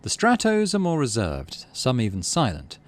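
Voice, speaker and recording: A man, middle-aged, hosting a game playthrough, mixing casual commentary with expressive story reading. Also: medium pitch, moderate pace, slight background noise. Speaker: let's play host.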